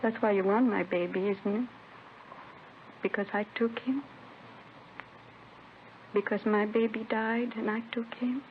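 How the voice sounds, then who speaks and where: An actress playing a distraught, grieving young mother making a halting confession in a 1950s radio drama. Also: on the high side, fairly even intonation, lightly acted. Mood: pleading, anguished. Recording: tinny, noisy vintage radio recording.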